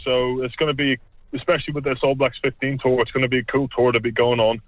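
A man's voice: mid-range voice, fast talker, dry recording. Brief: young Irish rugby man, easygoing, rambling, dry and slightly dull sound. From Ireland. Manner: relaxed, rambling, repeats himself. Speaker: rugby player, guest. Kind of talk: casual interview chat.

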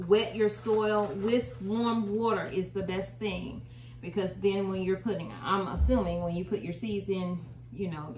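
An American woman giving a casual, folksy how-to demonstration as the host of a home gardening video. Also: medium pitch. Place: small indoor room at home. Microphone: camera a short distance away. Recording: roomy, distant mic, faint noise.

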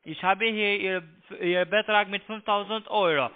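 A man with a foreign accent, not a native speaker, pushing a halting, repetitive sales pitch over the phone as a call-centre agent. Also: steady tone. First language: not German. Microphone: telephone. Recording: tinny narrow phone line.

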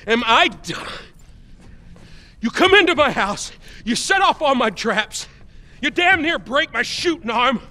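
An American man playing a gruff, sarcastic, paranoid middle-aged survivalist loner in a cinematic video game. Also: very high voice, sing-song, unhurried, pushed and bright, full character voice. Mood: furious. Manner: gruff, foul-mouthed, sarcastic. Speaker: game character actor.

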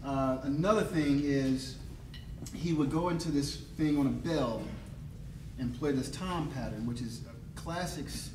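A man, a jazz bandleader, talking casually to a live audience from the stage, relaxed and full of restarts. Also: a little high, unhurried. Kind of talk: casual stage announcement at a concert. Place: stage of a concert hall before a live audience. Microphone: stage vocal mic on a stand. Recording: roomy hall sound with audience noise.

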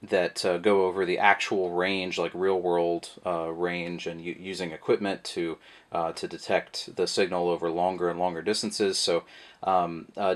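Deep-voiced guy casually walking through a gadget demo, lots of ums and uhs, camera mic. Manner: relaxed, rambling with many fillers. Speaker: product reviewer. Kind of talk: casual how-to demonstration. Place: indoors at a table, voice behind the camera.

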